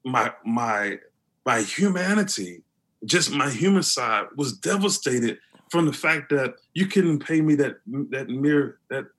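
A man with a deep voice, speaking earnestly as an interview guest, often restarting his sentences. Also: expressive, a little slow, a bit bright. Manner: earnest, with many restarts and fillers. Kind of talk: conversational interview.

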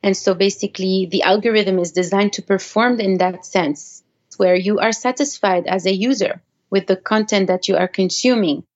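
A woman's voice: medium pitch, normal speed. Female interview guest, native French speaker, conversational explainer, mid-range voice, muffled lo-fi audio. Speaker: interview guest, activist technologist.

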